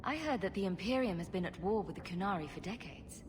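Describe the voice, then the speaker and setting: A woman's voice: medium pitch, lively intonation, fast talker, background noise, close to an everyday voice. A woman voicing a wry, sarcastic, inquisitive female rogue adventurer in a video game. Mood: curious, wry.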